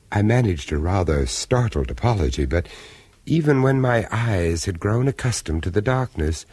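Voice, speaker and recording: An actor playing an urbane, reserved and wry gentleman, measured and refined, in a 1970s radio horror drama. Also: deep voice, husky, no echo, full character voice. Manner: measured, refined, theatrical delivery.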